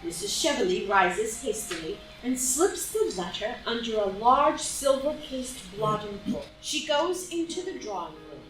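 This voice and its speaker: A woman narrates stage directions in a fairly high, lively voice. The recording is echoey, as at a live play reading, with clear music behind her. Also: distant mic. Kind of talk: scripted drama narration.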